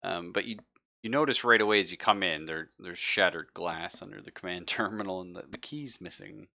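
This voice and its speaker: Deep, bright-voiced man running a game. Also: gravelly. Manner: halting, conversational delivery. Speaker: game master. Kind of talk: narrating a tabletop roleplaying game live.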